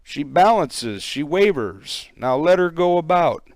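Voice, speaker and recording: A man's voice: on the high side, big pitch swings, unhurried, darkish tone, no echo, pitched above the performer's own voice. A man voicing a frantic boatman in a storybook poem, hollering urgent nautical orders. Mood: frantic, alarmed. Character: frantic boat captain and crew shouting storm orders.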